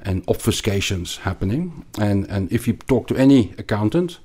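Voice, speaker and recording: Deep-voiced Belgian-accented man, halting. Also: lively intonation, mellow, slightly rough. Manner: hesitant, many repeated words and restarts. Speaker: finance guest. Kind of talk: conversational interview.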